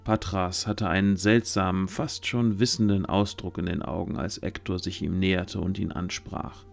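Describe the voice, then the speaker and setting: A man with a lowish voice narrates a fantasy audio drama. He reads scripted storybook prose, with soft music underneath. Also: fairly even intonation, normal speed, creaky.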